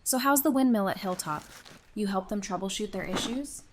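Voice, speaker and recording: A woman's voice: mid-range voice, moderate pace, noisy background, natural voice. A woman acting in an audio drama as a teasing, affectionate woman in her early thirties, speaking casually. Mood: warm, encouraging.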